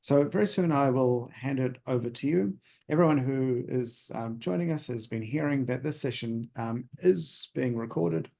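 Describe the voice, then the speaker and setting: A man hosting an evening talk, introducing the speaker politely and haltingly over a video call. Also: medium pitch, gravelly, mellow. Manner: halting, polite, with frequent pauses. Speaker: host of a society talk. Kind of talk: formal introduction.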